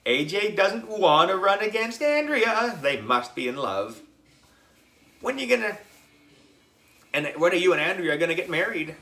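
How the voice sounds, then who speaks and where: A man's voice: high voice, sing-song, a little slow, pauses often, sharp tone, pitched above the performer's own voice, full character voice. A man voicing a pushy, teasing schoolboy in a children's storybook, goading and taunting. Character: teasing, pushy third-grade schoolboy pal.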